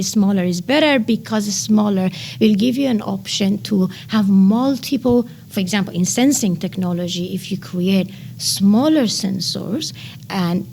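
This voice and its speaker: A woman in her thirties from Iran, speaking English with a Persian accent. She lays out science in an eager interview tone, filling pauses with "uh". Her voice carries a gravelly, fry-tinged edge over a faint bed of room hiss. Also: medium pitch, darkish tone. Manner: enthusiastic explainer with frequent "uh" pauses. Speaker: nanotechnology researcher. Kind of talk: explanatory interview answers.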